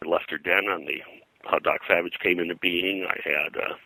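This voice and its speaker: Older male interviewee, low-pitched and forceful, digressive raconteur reeling off names and anecdotes. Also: fairly even intonation, moderate pace, vocal fry, dry recording, rough recording. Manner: digressive, name-dropping storyteller. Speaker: pop culture historian and guest. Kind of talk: rambling anecdotal interview talk.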